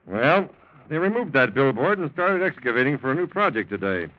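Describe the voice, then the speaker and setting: A man's voice: mid-range voice, big pitch swings, brisk, no echo, close to an everyday voice. A man acting in an old radio drama as a wily, laconic police lieutenant who talks in a probing, hesitant way. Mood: probing, dry. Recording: dull, lo-fi old radio recording.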